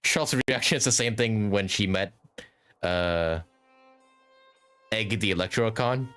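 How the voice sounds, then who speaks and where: A man's voice: on the low side, a little slow, a bit bright, clear, resonant tone. A man live streaming a game with informal, chuckling commentary and some swearing. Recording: game music behind.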